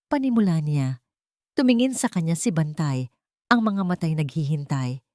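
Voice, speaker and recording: Low-voiced woman narrating drama, dry sound. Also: lively intonation, normal speed, darkish tone, no echo. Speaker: storyteller, narrator. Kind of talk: scripted dramatic story narration.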